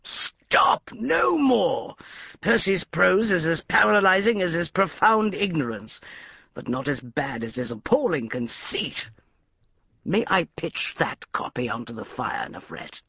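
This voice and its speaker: A woman voicing a gruff, irascible older male archaeologist with a growling, blustery delivery. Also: lowish voice, sing-song, a little slow, no room sound, dull audio, exaggerated voice. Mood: testy.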